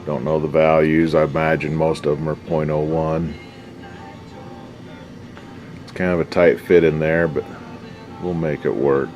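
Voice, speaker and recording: A man with an extremely low, deep voice chats casually through a hands-on repair job. His delivery is folksy and slangy, muttered as he works, with faint music somewhere behind him. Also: little pitch movement, unhurried, long pauses, darkish tone, muffled recording. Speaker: hobbyist radio restorer and video host. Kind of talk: casual unscripted workbench commentary.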